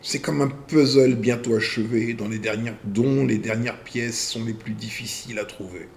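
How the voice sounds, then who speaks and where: A man hosting a video channel. He reads viewer messages aloud and answers them in a deliberate way, in short phrases with pauses. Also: medium pitch, steady tone, gravelly, a little mellow, slight background noise.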